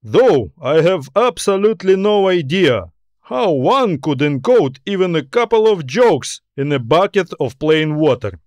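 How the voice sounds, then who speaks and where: A man's voice: a little high, very animated, measured pace, dry recording, clean studio sound, pitched above the performer's own voice. Man playing authoritative, businesslike space admiral. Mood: formal. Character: confident male space-navy admiral, practical, commanding. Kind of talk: audiobook character dialogue.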